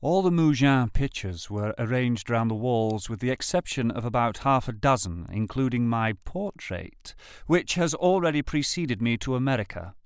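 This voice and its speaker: A man reads a personal letter aloud. His voice is smooth and clear at a medium pitch, recorded dry with little room around it. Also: animated, normal speed, hardly any pauses, dry recording. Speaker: reader of letters. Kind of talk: scripted reading of a personal letter.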